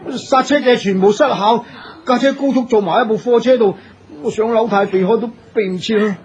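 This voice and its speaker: A man's voice: very high voice, a little fast, lo-fi sound. A man with a Hong Kong Cantonese accent playing an anxious, deferential chauffeur, flustered and apologetic, in a radio drama. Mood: distraught.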